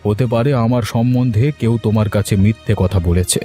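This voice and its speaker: Man playing a mellow, placating old landowner. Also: lowish voice, brisk, close to an everyday voice. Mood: hurt, placating. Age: older. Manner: placating, protesting innocence. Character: mild, wounded elderly village landowner. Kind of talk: cartoon story dialogue. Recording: music under the voice.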